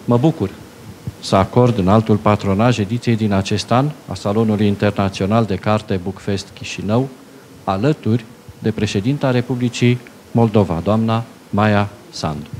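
An older man with a fairly low voice reads out a formal message in a ceremonial, halting way. He holds a handheld microphone in a conference hall. A faint hum sits in the background. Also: steady tone, darkish tone. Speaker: presidential adviser reading a message. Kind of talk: formal official address. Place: conference hall at a book fair.

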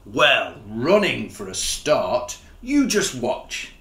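A man's voice: mid-range voice, huge pitch swings, slow, fairly bright tone, echoey room, full character voice. A man voicing a proud, boastful, easily annoyed lion in a children's storybook, with a pompous, blustering manner.

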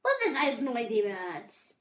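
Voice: medium pitch, wildly swooping pitch, a little slow, fairly bright tone, roomy sound. A young reader voicing a grumpy, sulking male rabbit in a storybook, muttering and huffing. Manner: muttering, huffy grumbling.

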